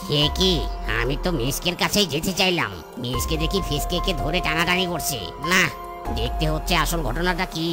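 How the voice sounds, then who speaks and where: A woman's voice: deep voice, normal speed, music in the background, close to an everyday voice. A woman voicing a weary, gossipy farmer animal in a comedy cartoon, grumbling to itself. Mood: curious. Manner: grumbling, muttering commentary.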